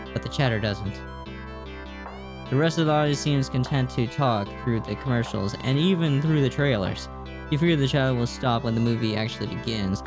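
A man in his twenties reading a game's text aloud at a close desk mic, with casual reactions. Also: medium pitch. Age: 20s. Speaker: gaming YouTuber. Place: small indoor room at home. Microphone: desk microphone close up. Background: game music.

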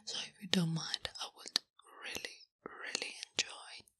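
Woman voicing a slow, breathy big orc woman. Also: dry recording. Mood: earnest, bashful. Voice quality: breathy, half-whispered. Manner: halting, soft-spoken, simple broken speech in third person. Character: big strong orc woman, gentle, naive, bashful warrior. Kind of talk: ASMR roleplay.

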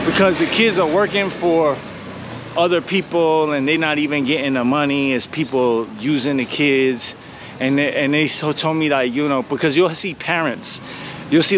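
An American man in middle age chatting casually in slangy street talk as he hosts a walking vlog. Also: medium pitch. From United States. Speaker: vlogger and host. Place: outdoors walking along a city street. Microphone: handheld vlog camera at arm's length. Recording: noisy outdoor street with traffic.

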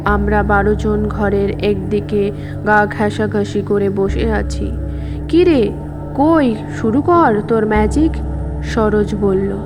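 A woman narrating a scripted story in a measured, dramatic reading manner. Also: mid-range voice. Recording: music under the voice. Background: background music.

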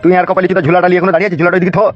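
A man's voice: sits high, little pitch movement, very fast, no echo, muffled recording, soft background music, lightly acted. A man voicing a crude, blustering small-town politician in a cartoon, speaking coarsely. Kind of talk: cartoon voice acting.

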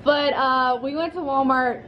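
A woman's voice: sits high, steady tone, a little slow, highs cut off, background noise. Chatty young woman vlogging at home. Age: young adult. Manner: chatty and rambling, with fillers and soft laughs. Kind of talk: casual vlog chat. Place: living room at home. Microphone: handheld camera close to face.

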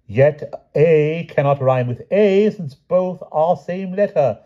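A man's voice: on the high side, expressive, takes it slowly, mellow, voice pushed up. A man voicing an exacting, exasperated foreign knight who tutors poetry in formal, stilted phrases with dropped articles, in a storybook reading. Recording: dull, muffled lo-fi audio.

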